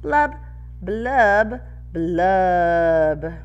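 A woman's voice: on the low side, expressive, drawn-out words, clear, resonant tone, dull audio, soft background music. Woman voicing a pouting, rhyming male storybook fish. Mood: glum, resigned. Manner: mournful, chanting in rhyme.

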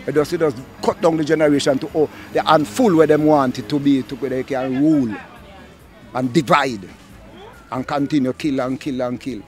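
An older man with a Jamaican accent, talking passionately on a city street into a handheld mic, swinging from low mutters to loud outbursts. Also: fairly even intonation, normal speed, soft background music. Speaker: street interviewee. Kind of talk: impassioned street talk. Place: outdoors on a city street, under a tarp. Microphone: handheld mic held by interviewer.

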